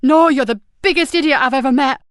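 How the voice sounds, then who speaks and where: A woman's voice: high voice, steady tone, slow pace, darkish tone, dry recording, clean studio sound, pitched above the performer's own voice. A woman voicing a protective female police inspector, urgent and raised. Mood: desperate.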